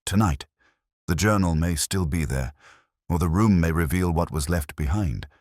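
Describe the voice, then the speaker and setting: A man reading the part of a commanding, brooding detective who speaks cryptically and deliberately in an audiobook. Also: sits low, a little mellow, no echo, studio quality, natural voice. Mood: resolute.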